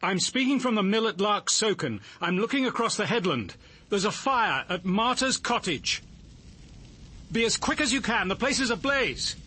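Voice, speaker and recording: Grave detective, animated pitch. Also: male voice, breathy edge, heavily acted. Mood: urgent alarm. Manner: measured, literary, understated. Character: grave, measured male detective narrating his own ordeal. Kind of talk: first-person radio drama narration and dialogue.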